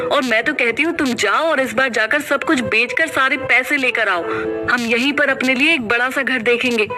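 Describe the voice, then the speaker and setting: A woman's voice: high voice, lightly acted. A woman voicing an irritable, resentful young wife and mother. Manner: sharp, resentful. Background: background music.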